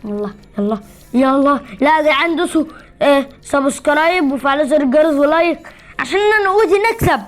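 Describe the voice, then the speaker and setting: A woman's voice: high-pitched, quick pace, faint music behind. A woman with an Egyptian Arabic accent giving casual gameplay commentary, teasing and boasting as a channel host.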